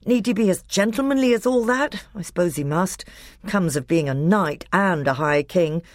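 A woman voicing an excitable, anxious boy knight in a storybook reading. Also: on the low side, moderate pace, no room sound, clean studio sound, close to an everyday voice. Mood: fretful, exasperated. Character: Edmund, excitable anxious boy knight cheering his brother.

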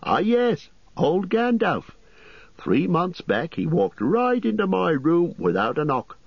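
A man voicing a fussy, apologetic middle-aged innkeeper who chatters and rambles, with a husky voice. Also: high voice, huge pitch swings, slow, dry recording, muffled recording, pitched above the performer's own voice, exaggerated voice. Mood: fussy, rambling.

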